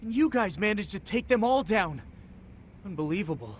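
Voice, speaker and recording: An actor playing a hesitant, impressed informant in a video game, talking casually. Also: male voice, high-pitched, sing-song, moderate pace, slight background noise. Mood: awed. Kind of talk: naturalistic video game cutscene acting.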